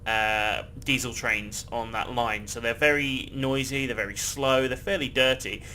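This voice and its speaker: A man's voice: medium pitch, steady tone, measured pace, bright, forceful tone, a little hiss or hum, low-quality recording. A man casually chatting and rambling while he plays a game, swearing freely and laughing often. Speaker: gameplay video host. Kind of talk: casual gameplay commentary.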